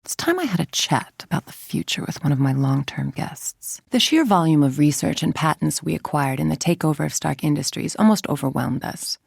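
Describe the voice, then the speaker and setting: A woman playing a cold, calculating villain scientist-executive in a video game, speaking in a measured, imperious, menacing way with a husky, creaky voice. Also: sits low, expressive, darkish tone, dry recording, studio quality, heavily acted. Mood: smug, scheming. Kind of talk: scripted dramatic video game monologue.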